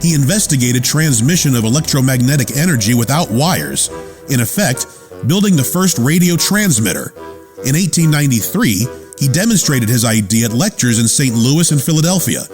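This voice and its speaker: A man plays a loyal lab assistant narrating a radio play. He tells it plainly and earnestly, with lively swings of pitch over soft music. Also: moderate pace, lightly acted. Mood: proud, earnest. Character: loyal, watchful male lab assistant recounting his boss's life.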